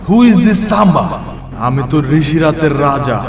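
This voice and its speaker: A man's voice: fairly high pitch, lively intonation, slow. A man voicing a boastful, mischievous male ghost who brags and teases with a chuckle. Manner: teasing, bragging, chuckling. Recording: echoey cartoon voice over music.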